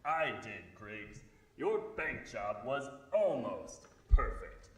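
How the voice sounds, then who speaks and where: A man's voice: mid-range voice, sing-song, takes it slowly, fairly bright tone, reverberant, far from the mic. An actor playing a mocking invisible male crime-fighter in 1940s radio style, with a taunting, menacing delivery. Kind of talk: 1940s radio drama acting.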